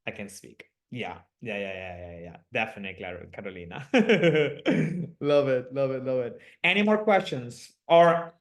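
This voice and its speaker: A man's voice: medium pitch, lively intonation, normal speed. A man from Aruba with a slight Aruban accent and Papiamento as his first language, hosting a live online coaching session over a video call in an upbeat, chatty way. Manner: upbeat, chatty, laughs often.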